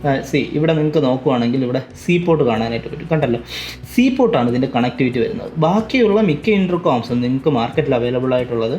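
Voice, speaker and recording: Male vlogger, quick delivery, mid pitch, explains step by step in a casual product-review style. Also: lively intonation, darkish tone. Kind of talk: casual unboxing review to camera.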